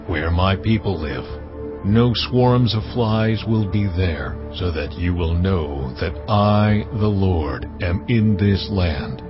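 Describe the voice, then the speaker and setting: A man gives voice to God in an audio drama, a very deep and unhurried commanding voice. The sound is slightly dull, with music swelling underneath. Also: natural voice. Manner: slow, measured, authoritative delivery. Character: God, a solemn commanding divine voice. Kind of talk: dramatized scripture reading.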